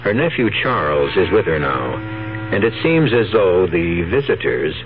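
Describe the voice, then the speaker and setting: A man hosting a radio drama, narrating from a script in a measured, wry, theatrical way. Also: low voice, sing-song, background noise. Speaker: host and narrator. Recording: thin phone-like radio sound, music behind. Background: faint music.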